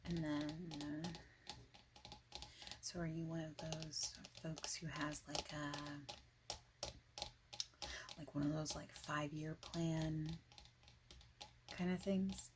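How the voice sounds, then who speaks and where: A woman playing a friendly, informal boss in an ASMR interview roleplay, speaking softly and haltingly with whispered phrases. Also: low-pitched, background noise. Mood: casual, welcoming. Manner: halting, casual, soft-spoken, with breathy whispered phrases.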